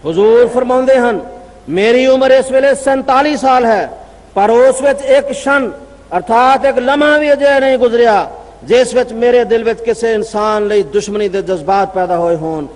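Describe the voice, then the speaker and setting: A Punjabi-accented man delivers a formal religious address with a strikingly high voice. He speaks in measured, declaiming phrases and stops now and then to cite his sources. A long reverb trails each line through the large hall. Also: darkish tone. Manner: declaiming with long pauses, reading out citations. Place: convention gathering.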